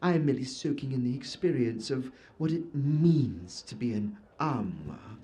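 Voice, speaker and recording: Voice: mid-range voice, expressive, normal speed, dark tone, slight background noise, close to an everyday voice. A performer voicing a fussy, pompous wizard who has turned himself into an armoire, stammering when flustered. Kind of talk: audio drama acting.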